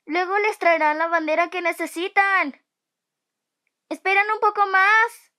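A woman's voice: very high voice, unhurried, sharp tone, heavily acted. A woman playing an emotional teenage schoolgirl in a fan-dubbed anime. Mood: apologetic, calling out. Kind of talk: anime fandub acting.

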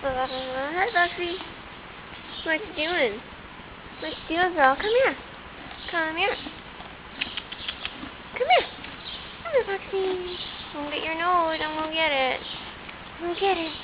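A woman's voice: very high voice, animated, slow pace, pauses often. A teenage girl vlogging in a horse stable, cooing to the horses in a whiny baby-talk voice, filmed on a handheld camera. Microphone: handheld camera close up. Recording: muffled lo-fi camera audio with loud noise.

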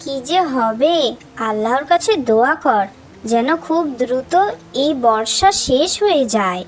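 A woman's voice: sits high, animated, unhurried, rough recording. A woman acting a young girl in a cartoon, a caring elder sister with an earnest, concerned manner. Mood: worried.

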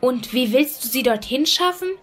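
A woman's voice: sits high, animated, moderate pace. A woman playing an uneasy, evasive fruit seller and reluctant accomplice in a German audio drama. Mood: uneasy, indignant. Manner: hesitant, evasive.

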